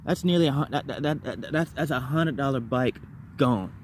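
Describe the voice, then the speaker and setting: Rambling man over clear background noise. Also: medium pitch, normal speed. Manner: rambling, repeats words and phrases in bursts. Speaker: vlogger. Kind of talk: casual personal storytelling to viewers.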